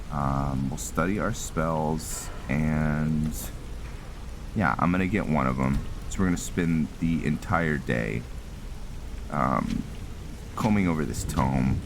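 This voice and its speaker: A man giving casual roleplay gameplay commentary, thinking aloud in a halting way as he goes. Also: extremely low pitch, background noise. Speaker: gaming channel host.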